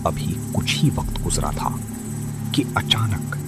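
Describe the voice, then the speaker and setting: A man narrating a scripted horror story in a dramatic, suspenseful and deliberate manner. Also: deep voice, animated, vocal fry. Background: loud music.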